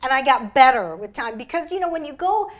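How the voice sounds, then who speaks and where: A woman's voice: sits high, very animated, fairly quick, fairly bright tone. A middle-aged woman from Vietnam, an author answering audience questions and acting out quoted voices with laughter. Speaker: author guest. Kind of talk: live Q&A storytelling.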